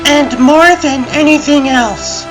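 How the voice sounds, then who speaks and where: Actress as young female commander for comic dub: high voice, deliberate delivery, authoritative and dramatic. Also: over music. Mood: determined, commanding. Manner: commanding, brisk-tongued, dramatic. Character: bossy, confident young female science team leader, God's daughter. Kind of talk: fan comic dub voice acting.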